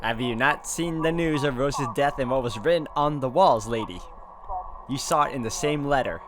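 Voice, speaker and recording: A man's voice: mid-range voice, lively intonation, moderate pace. A man doing a let's play, reading visual novel narration aloud and adding his own off-the-cuff reactions. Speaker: video game let's player. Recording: game music behind the voice. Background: game music.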